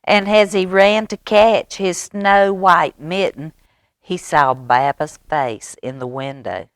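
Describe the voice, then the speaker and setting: A low-pitched woman reads a children's picture book in a close, dry recording. She takes her time, expressively shaping each phrase and turning to young listeners with simple questions. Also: takes it slowly, dry recording. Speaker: library storytime reader.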